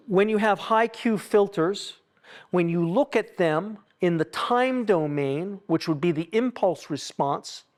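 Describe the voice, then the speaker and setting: Man with a fairly high voice, an instructor giving a hands-on technical demo, musing aloud and explaining in asides. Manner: halting, self-questioning, explanatory asides. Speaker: acoustics consultant leading a tuning workshop. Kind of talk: informal live technical demonstration, thinking out loud.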